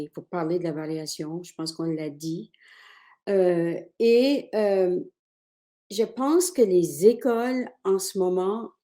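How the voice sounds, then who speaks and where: A woman panelist talks slowly and thoughtfully over a video call. Her voice is mellow and soft-edged, and she pauses on fillers as she works toward her point. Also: mid-range voice. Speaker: webinar panelist. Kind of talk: unscripted panel discussion.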